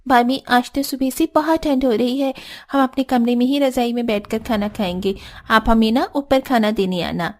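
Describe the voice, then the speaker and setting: Woman playing a high-pitched, demanding girlish sister-in-law. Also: moderate pace, darkish tone, natural voice. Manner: coaxing, exclamatory. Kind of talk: Hindi cartoon story dialogue.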